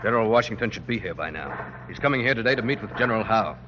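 An actor playing a wry, fatalistic swashbuckling soldier in old radio drama, with a terse, sardonic manner. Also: male voice, on the low side, lively intonation, quick pace, lo-fi sound, background noise, close to an everyday voice. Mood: brisk, wry. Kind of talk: 1940s-50s radio drama acting. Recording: faintly humming old radio recording.

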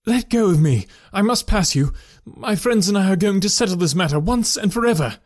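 A man voicing an agitated, anxious Victorian husband who speaks urgently and forcefully in a raised voice. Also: high-pitched, big pitch swings, normal speed, husky, no echo, clean studio sound, voice pushed up, full character voice.

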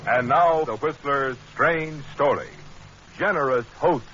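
An actor playing a mysterious, ominous storyteller of dark tales in a 1940s radio mystery, with dramatic, deliberate delivery. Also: male voice, mid-range voice, slight background noise. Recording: muffled old radio recording, loud music.

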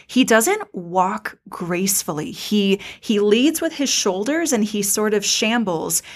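A woman's voice: medium pitch, expressive, slow. Casting: female podcast co-host, mid-range voice, effusive and playfully dramatic fan commentary. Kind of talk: casual chat, pop-culture commentary.

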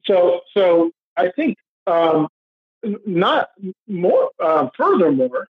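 A man speaking as a podcast guest in unscripted discussion, halting and hesitant, often repeating words. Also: sits high, sing-song, breathy edge. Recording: dull lo-fi audio.

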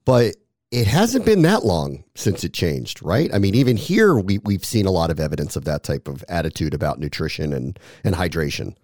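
A man's voice: low voice, darkish tone, no echo, clean studio sound. A man hosting an interview, asking questions in a conversational, reflective way. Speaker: interview host.

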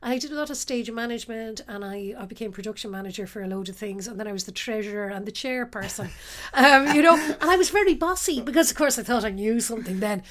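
Woman, Irish accent, middle-aged, fairly high voice, self-deprecating anecdotes, interview guest. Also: expressive, fast talker. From west of Ireland. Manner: warm, self-deprecating storyteller, laughs often. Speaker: actress guest. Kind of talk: relaxed interview chat.

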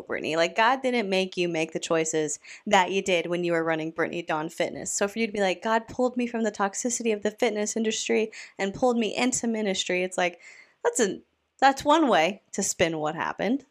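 A woman's voice: mid-range voice, animated, brisk. A woman hosting a reaction podcast, talking back to a clip she is playing. Her delivery is snarky and incredulous, in a mid-range voice. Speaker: commentary podcast host.

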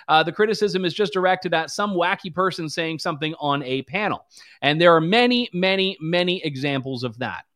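Male commentary host, high pitched, sarcastic and mocking delivery. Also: a bit bright. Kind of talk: political commentary show.

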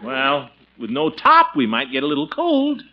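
An actor playing a pompous, bashful middle-aged American uncle with a hammy, chuckling, hesitant delivery in 1940s radio comedy style. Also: male voice, a little high, huge pitch swings, normal speed. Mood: reluctant, blustering. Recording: scratchy, muffled old radio broadcast.

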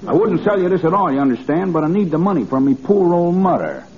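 An actor playing a furtive small-time huckster, slick, confiding and wheedling, in old-time radio comedy. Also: male voice, high-pitched, sing-song, fast talker. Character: sly, furtive small-time huckster selling dodgy goods. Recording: muffled, noisy vintage radio recording.

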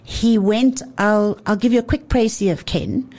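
A woman's voice: fairly high pitch, unhurried, darkish tone. A woman who talks about wine on a radio show, playful and effusive, often laughing. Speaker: wine commentator. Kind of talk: lively radio chat.

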